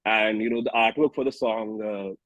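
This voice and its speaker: A man's voice: lowish voice, steady tone, normal speed, fairly bright tone, no room sound, rough recording. A man in his early thirties with an Indian accent, chatting informally as a singer-songwriter in an interview. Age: early 30s. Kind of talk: casual interview chat.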